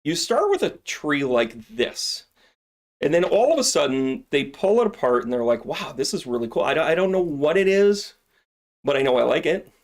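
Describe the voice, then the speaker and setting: A man's voice: fairly high pitch, very animated, moderate pace. Joking, rambling male shop host. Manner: joking, rambling, salesy asides. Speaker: shop owner and live-stream host. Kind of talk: casual product demo on a live stream.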